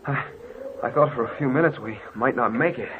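A man's voice: mid-range voice, brisk, a loud crowd, close to an everyday voice. An actor playing a curt, brooding man in an old-time radio horror drama, on a muffled, noisy recording. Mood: tense. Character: curt, brooding man sheltering in a spooky house.

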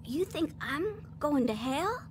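Very high, timid little girl. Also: very animated, takes it slowly, noisy background, exaggerated voice. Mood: questioning, hurt. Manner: pleading, hesitant. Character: timid, pleading ten-year-old girl, frightened but tender-hearted. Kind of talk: radio drama acting.

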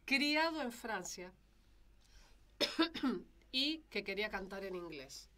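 A young Rioplatense woman, a singer and vocal teacher, talking as a guest in a radio studio. She speaks slowly and unhurriedly, pausing on fillers as she gathers her thoughts, into a close studio mic. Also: medium pitch, lively intonation, sharp tone. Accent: Rioplatense Spanish. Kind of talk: casual studio chat. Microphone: studio mic with headphones.

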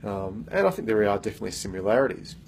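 A man's voice: lowish voice, sing-song, quick pace, creaky, darkish tone, a little hiss or hum. A man in his thirties, a coach, talks earnestly into a phone held at arm's length in an office meeting room, with lots of fillers and restarts. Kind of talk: casual self-recorded vlog.

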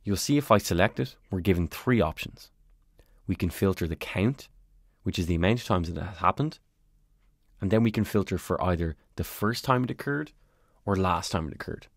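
A man calmly narrating a step-by-step software tutorial as a voice-over on a screen recording. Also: low voice, normal speed, no echo, studio quality. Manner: calm, step-by-step explanatory.

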